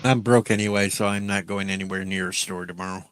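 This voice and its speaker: A man hosting a casual talk show, cracking jokes in a deadpan way. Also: low-pitched, quick pace, smooth. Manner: deadpan joker. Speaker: show host. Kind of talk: casual chat.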